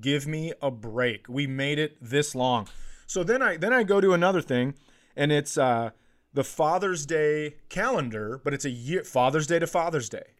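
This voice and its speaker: A man's voice: fairly high pitch, expressive, moderate pace. A man hosting a comedy podcast in casual chat, ranting with exasperated sarcasm and swearing.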